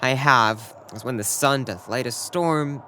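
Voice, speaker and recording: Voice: medium pitch, a little slow, bright, forceful tone, a little hiss or hum. A young performer playing a lovesick, despairing Trojan prince in a Shakespearean audio drama, impassioned and given to sighing declamation. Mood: lovesick, anguished. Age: young adult.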